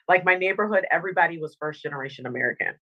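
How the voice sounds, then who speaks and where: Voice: medium pitch, rapid-fire, muffled recording. An interview guest born in Dominica and raised in Northern Virginia, a motivational speaker and former TV anchor, talking earnestly and reflectively. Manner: earnest, reflective, says "you know what I mean". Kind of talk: casual interview chat.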